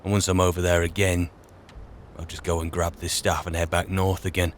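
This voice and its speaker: Low-voiced, musing man playing a wandering mage. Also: level tone, fairly quick, lightly acted. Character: weary, grumbling male wandering mage adventurer. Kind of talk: in-character game roleplay narration. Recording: faint game ambience noise.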